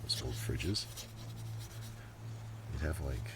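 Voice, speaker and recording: Male ASMR host, very low and slow, soft rambling show-and-tell with whispered asides, outdoor setting. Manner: unhurried, muttering asides, often drifting into whisper. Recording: noisy outdoor recording, breeze and handling sounds. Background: steady ambient noise, breeze, writing and page sounds.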